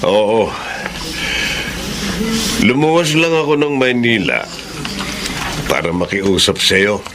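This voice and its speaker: A man's voice: on the low side, expressive, slow, long pauses, noisy background. A middle-aged man playing a protective, earnest father who implores with emotion in a Filipino radio drama. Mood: polite, grave.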